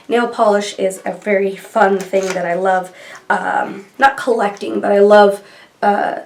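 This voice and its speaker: A woman in her thirties with a stuffy nose from allergies, chatting casually and rambling as she reviews products in a small room at home. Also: mid-range voice. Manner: chatty and rambling, gushing over colors. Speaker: beauty vlogger. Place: small bedroom filming nook, indoors.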